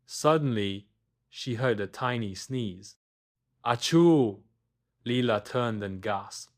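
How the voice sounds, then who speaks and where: A man narrates a scripted bedtime story for children. His voice sits at a middle pitch, and he takes the telling at a slow pace. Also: expressive.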